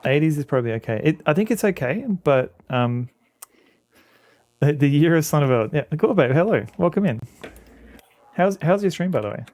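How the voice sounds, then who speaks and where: A man's voice: a little high, lively intonation, normal speed, pauses often, a little mellow, no echo. A man streaming a game and chatting casually with viewers, rambling with lots of restarts and fillers. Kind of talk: casual chat with viewers while playing a game.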